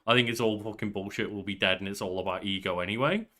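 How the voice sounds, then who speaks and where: A man's voice: on the low side, quick pace, pushed and bright. A young man co-hosting a podcast in casual chat, with banter and some swearing. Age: young adult. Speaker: podcast co-host. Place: small bedroom at home.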